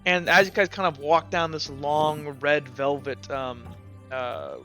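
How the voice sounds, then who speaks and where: Bright-voiced male game master, improvising. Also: on the high side, fairly even intonation, a little slow. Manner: rambling, piecemeal descriptions. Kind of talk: improvised tabletop game narration. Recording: dull lo-fi sound, faint music behind.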